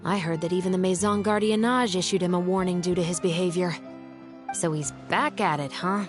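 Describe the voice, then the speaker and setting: A woman's voice: lowish voice, fairly even intonation, brisk, music in the background, natural voice. An actress playing a stern young woman officer who speaks in a level, dryly teasing way.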